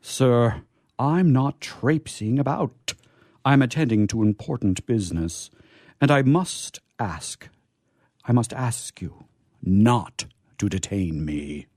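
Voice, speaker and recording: A man playing a courteous, dignified guardian angel in a live radio comedy, speaking in a formal, measured way. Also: mid-range voice, animated, a little mellow, no room sound, clean studio sound.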